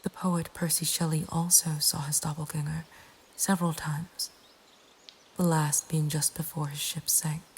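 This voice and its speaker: Slow, low, whispering woman narrator. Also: little pitch movement. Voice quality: breathy, whispered. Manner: slow, hushed, deliberate delivery. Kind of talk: whispered ASMR horror storytelling, scripted narration. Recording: faint ambient background noise. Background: soft nature ambience.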